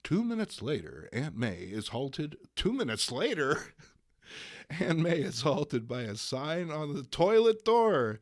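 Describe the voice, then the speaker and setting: A man's voice: a little high, big pitch swings, slow pace. A man hosting a dramatic comic book reading, speaking in a theatrical, mock-grandiose way. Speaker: host and comic book reader. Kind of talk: theatrical introduction and dramatic storytelling.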